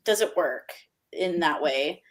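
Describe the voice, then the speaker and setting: A woman speaking in a measured, reflective way as a panelist in a casual book discussion. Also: low voice.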